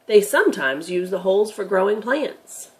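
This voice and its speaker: A woman's voice: mid-range voice, animated, moderate pace, natural voice. A woman voicing a patient, knowledgeable grandfather who explains things emphatically in a children's storybook reading. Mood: patient, explanatory.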